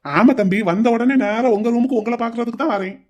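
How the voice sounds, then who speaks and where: A fussing, chatty older uncle, put on by a man in an audio drama. He talks fast in a high voice. He bustles from one question to the next. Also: highs cut off. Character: friendly older uncle at a wedding hall.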